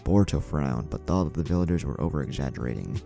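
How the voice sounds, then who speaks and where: A man's voice: rumbling low, animated, fast talker, creaky, mellow. A man reading a scripted story aloud as narrator over music. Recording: music bed under the voice.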